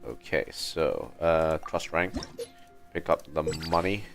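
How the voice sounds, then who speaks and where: A man's voice: extremely low pitch, lively intonation, slow pace, vocal fry. A man giving casual video game commentary as he streams, thinking aloud in a mumbly way into a streaming microphone. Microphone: streaming microphone that picks up movement. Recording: game music behind the voice.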